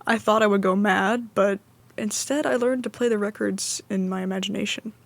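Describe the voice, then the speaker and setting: A woman's voice: medium pitch, fairly even intonation, normal speed, vocal fry, dry recording, close to an everyday voice. A woman playing a placidly loyal, reformed commune member, telling her story confidingly in an audio comedy testimonial.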